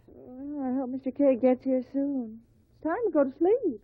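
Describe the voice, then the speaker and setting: An actress playing a judge's gentle, affectionate wife in a 1950s radio drama. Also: female voice, high voice, unhurried. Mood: confiding. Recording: dull narrow-band 1950 radio recording.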